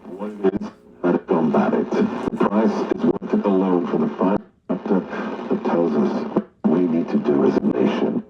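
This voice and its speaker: A man's voice: low-pitched, expressive, moderate pace, creaky, soft background music. A man delivering political talk, heard as playback from a radio with a dull sound.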